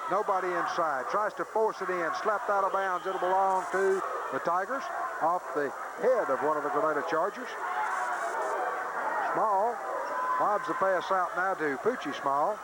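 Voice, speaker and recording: A man doing live radio play-by-play of a high school basketball game in clipped running phrases that grow excited on big plays, on old noisy tape audio. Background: loud gym noise.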